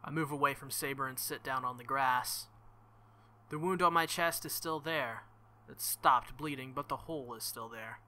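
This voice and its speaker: A man's voice: mid-range voice, normal speed, sharp tone. A man reading a visual novel aloud as a let's-play host, breaking into jokey, exclamatory asides.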